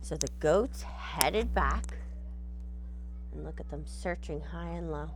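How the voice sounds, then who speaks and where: A woman's voice: deep voice, takes it slowly, noisy background. A woman reading a picture book aloud expressively, with explanatory asides, checking in with her listeners as she goes.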